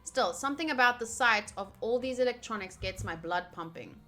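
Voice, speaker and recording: A woman's voice: fairly high pitch, lively intonation, fairly quick, pushed and bright. A woman livestreaming a visual novel playthrough, reading game text aloud and adding playful, chatty asides. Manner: playful, chatty, self-interrupting. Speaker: streamer playing a visual novel.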